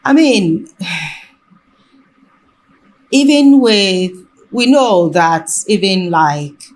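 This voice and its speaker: A woman talking reflectively in conversation, haltingly and often rephrasing mid-sentence. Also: medium pitch, lively intonation. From Ghana.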